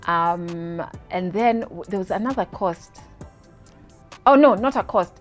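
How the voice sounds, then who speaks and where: A woman in her thirties with a Kenyan accent, telling her story as an interview guest, animated and laughing, slipping into Swahili words. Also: mid-range voice, a little slow, faint music behind.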